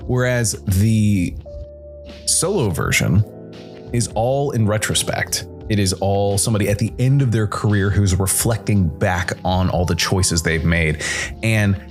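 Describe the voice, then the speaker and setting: A man with a fairly low voice hosts a solo podcast. He explains and reviews a game in a conversational way. Light music plays behind him. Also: measured pace. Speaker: podcast host.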